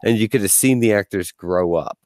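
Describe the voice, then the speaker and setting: A man's voice: on the low side, a little fast, a little mellow, no room sound, studio quality. A man in his forties hosting a casual podcast chat, rambling and restarting often, laughing easily. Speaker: podcast host.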